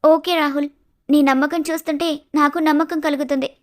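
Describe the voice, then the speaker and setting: Woman voicing a high-pitched, distressed young sweetheart. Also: fairly even intonation, a little fast, lightly acted. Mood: worried. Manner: pleading, plaintive. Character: distressed young woman in love, fearful daughter.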